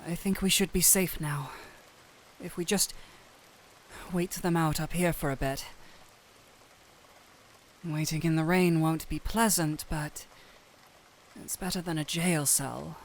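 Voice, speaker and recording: Slow-spoken gentle, anxious doctor, acted by a woman. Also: sits low, fairly even intonation, a little hiss or hum. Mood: hushed relief. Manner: earnest, hesitant, pleading with broken phrases. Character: gentle, anxious human doctor pleading with a small cat-thief. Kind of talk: dramatic audio roleplay addressed to the listener. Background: rain ambience.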